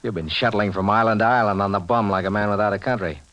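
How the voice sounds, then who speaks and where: An actor playing a relentless hard-boiled bounty hunter, mocking and menacing in 1940s radio drama style. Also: male voice, fairly low pitch, quick pace, a bit bright, lightly acted. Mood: cool, menacing.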